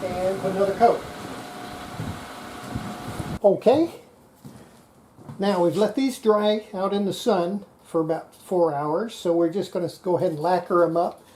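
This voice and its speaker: Casual hands-on narrator, mid pitch, step-by-step workshop talk, clear air-conditioner noise in background. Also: a little slow, pauses often, darkish tone, low-quality recording. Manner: talks through each step while working. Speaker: host demonstrating radio restoration.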